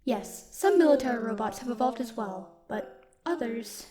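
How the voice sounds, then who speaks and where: A woman playing a stoic, dutiful military robot in an audio roleplay, speaking in a formal, measured, protective way. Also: fairly high pitch, expressive, over loud music, natural voice. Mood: factual, measured. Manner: formal, measured, literal wording. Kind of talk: scripted audio roleplay, speaking softly to one listener.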